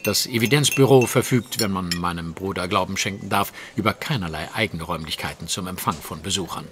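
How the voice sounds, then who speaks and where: An actor playing a self-assured, superior English detective, speaking precisely and wryly in an audio drama. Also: male voice, sits low, brisk, fairly bright tone. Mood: wry, brisk. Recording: clear background noise, clinking cutlery.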